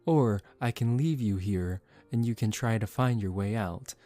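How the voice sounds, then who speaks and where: A man voicing a suave, condescending young male vampire in a soft-spoken ASMR roleplay, calm and teasing. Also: lowish voice, a little slow, lightly acted. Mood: smug, persuasive. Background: relaxing background music.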